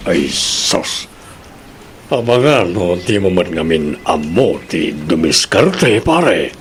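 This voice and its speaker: A man's voice: low-pitched, very animated, takes it slowly, a little hiss or hum, full character voice. A man with a Filipino accent playing a jovial, teasing married office boss in a radio drama.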